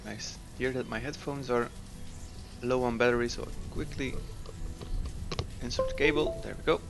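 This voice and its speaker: A man narrates a city-building game in a mid-pitched, everyday voice, thinking aloud at an unhurried pace with plenty of ums and uhs. Soft game music plays faintly beneath his commentary. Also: steady tone, creaky. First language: Dutch. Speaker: gameplay commentator. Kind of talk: casual let's-play commentary.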